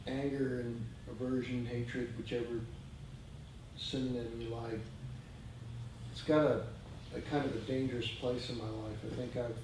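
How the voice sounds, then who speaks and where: Distant, echoing man, halting and reflective. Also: medium pitch, flat delivery. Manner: halting, searching for words. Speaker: group member commenting. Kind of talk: reflective spoken comment in a group discussion. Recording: very echoey room, distant mic, noisy.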